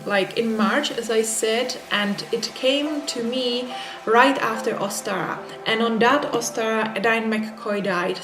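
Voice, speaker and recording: A woman with a Czech accent, a native Czech speaker talking in English, casually reviewing a book with many hesitant fillers and repeated words for emphasis. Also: a little high. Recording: echoey room with background music. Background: music.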